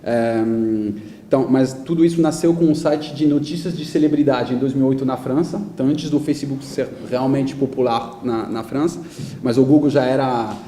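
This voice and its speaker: A man's voice: mid-range voice, normal speed, mellow. A man in his thirties with a French accent, speaking Portuguese as a second language in an informal, explanatory interview talk. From France. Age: 30s. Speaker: guest speaker, digital media company executive. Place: indoor event talk before an audience. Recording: echoey room with faint background noise.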